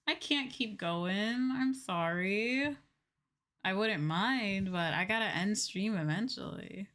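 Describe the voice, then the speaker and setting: A woman hosting a casual game livestream, chatting playfully with drawn-out exclamations and mock scolding. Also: a little high, fairly bright tone. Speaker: livestream host, VTuber. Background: video game music.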